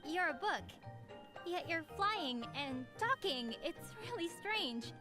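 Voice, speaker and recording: A woman playing an earnest, excitable teenage novice alchemist in an anime game, hesitant and easily startled. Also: extremely high pitch, slow pace, heavily acted. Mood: puzzled, curious. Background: game music.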